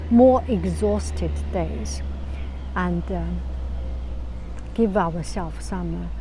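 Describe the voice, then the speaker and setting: A woman with a Chinese accent and a low, soft voice giving calm, casual advice to camera, often restarting phrases and sometimes dropping to a whisper. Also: a little hiss or hum. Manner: calm, unhurried, many restarts. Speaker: vlogger.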